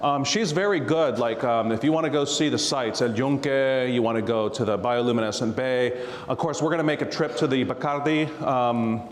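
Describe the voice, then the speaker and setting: A man's voice: medium pitch, fairly quick, few pauses, slight background noise. A man, a tax attorney, telling stories and explaining tax rules to a live audience, laughing often. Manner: jokey storyteller, laughs often. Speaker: real estate tax attorney guest. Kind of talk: casual talk to a live audience. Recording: roomy hall reverb.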